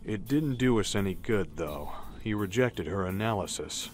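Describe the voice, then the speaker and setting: A man as low-voiced, steady army colonel. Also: close to an everyday voice. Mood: matter-of-fact. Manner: measured, matter-of-fact. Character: calm, steady older male army colonel. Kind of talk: scripted audio drama acting. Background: music.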